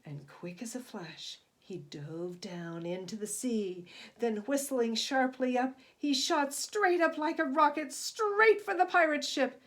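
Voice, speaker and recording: A woman reading a children's story aloud in an expressive, emphatic style, moving between hushed and booming delivery. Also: unhurried, hardly any pauses. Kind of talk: expressive scripted storybook narration.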